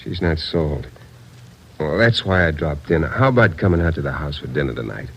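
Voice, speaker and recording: A friendly American would-be cop, about thirty and out of the service. His voice is deep, his acting in old radio drama style. Faint noise runs under the recording. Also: expressive, moderate pace, a bit bright, highs cut off, full character voice. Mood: friendly, earnest. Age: 30s. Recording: noisy 1940s radio recording.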